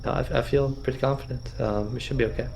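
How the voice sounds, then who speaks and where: A man's voice: mid-range voice, fairly even intonation, fast talker, gravelly, a little mellow, slight background noise, low-quality recording. A man chatting casually as a player in a tabletop roleplaying game.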